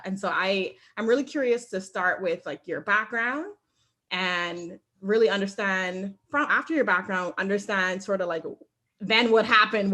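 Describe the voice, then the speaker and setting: A woman hosting a podcast, asking curious questions in a casual, chatty way. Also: medium pitch. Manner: warm, curious questioning with many backchannels. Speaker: podcast host. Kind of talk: casual interview talk.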